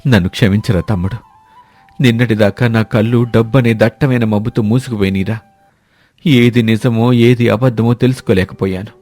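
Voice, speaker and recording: A man's voice: fairly low pitch, fairly even intonation, normal speed, a little mellow, soft background music, natural voice. A man playing a proud, rich village elder brother in a moral story, speaking rustic colloquial lines with anguish and emotional pleading. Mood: remorseful. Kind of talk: dramatic moral-story cartoon voice acting.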